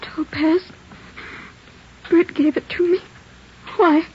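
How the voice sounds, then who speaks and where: An actress playing a weary, anguished young American wife on the run in a 1940s radio drama, plaintive and emotional. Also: female voice, extremely high pitch, fairly even intonation, takes it slowly. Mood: anxious. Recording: muffled, faintly noisy old radio recording.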